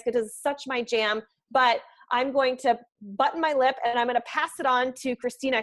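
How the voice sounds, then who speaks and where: A woman fitness coach giving a chatty, enthusiastic motivational talk. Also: on the high side. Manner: chatty, enthusiastic, full of fillers. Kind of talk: motivational presentation.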